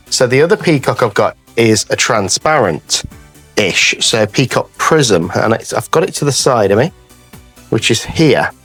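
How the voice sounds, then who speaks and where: Male craft presenter, mid-pitched and animated, slightly croaky from a cold, informal tutorial chat addressed to viewers. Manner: chatty, addresses viewers as guys. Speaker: craft channel host.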